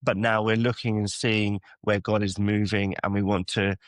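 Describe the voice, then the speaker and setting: A man's voice: lowish voice, flat delivery, moderate pace, dry recording, studio quality. A man from the London area, a church youth ministry worker, answering interview questions in a thoughtful, hesitant way with many ums. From England (London area). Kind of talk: podcast interview guest.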